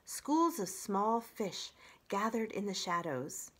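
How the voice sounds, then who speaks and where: A middle-aged woman reading a children's story aloud in an expressive storytelling style. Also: mid-range voice, takes it slowly, slightly rough. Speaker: children's library story reader.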